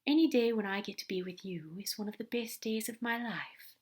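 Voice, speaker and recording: A tender, fussy male angel with a lifted, gentle voice, as a woman reader puts him on. He speaks softly and earnestly, often dropping to a hushed whisper. A storybook figure full of quiet affection. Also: mid-range voice, fairly quick, pitched above the performer's own voice, close to an everyday voice. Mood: affectionate.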